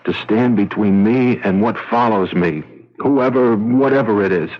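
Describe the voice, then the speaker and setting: Husky, haunted, driven husband. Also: male voice, fairly low pitch, a little slow. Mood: haunted, pleading. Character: driven, haunted talent agent, a blunt restless husband. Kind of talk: old-time radio drama acting. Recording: dull vintage radio recording.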